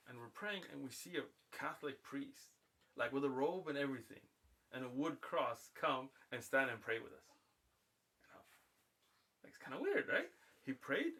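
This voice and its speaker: A man from the United States spins a long story on a live stream, his voice bright and forward. He slips into the voices of the people he meets, so his delivery jumps and bounces. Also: medium pitch. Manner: animated, acts out voices in anecdotes. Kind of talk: casual storytelling on a livestream.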